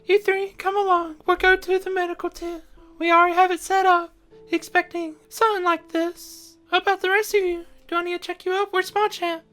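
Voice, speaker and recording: A caring creature medic from a tabletop fantasy game, acted by a woman, with a very high voice. The manner is gentle, solicitous and a touch unsure. Music plays softly underneath. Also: little pitch movement, a little fast. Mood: concerned, brisk.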